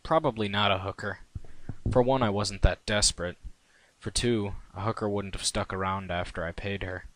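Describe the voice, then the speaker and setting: A man's voice: fairly low pitch, fairly even intonation, moderate pace, fairly bright tone, no echo, poor audio. A man reading his own first-person fan fiction in a wry, conversational way. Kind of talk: first-person story narration.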